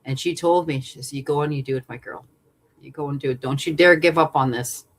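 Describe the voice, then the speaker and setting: A songwriter woman from Saskatchewan with a deep voice and a natural vibrato, chatting earnestly as a guest, rambling with fillers and restarts. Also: steady tone, fairly quick. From Saskatchewan, Canada. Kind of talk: casual interview chat.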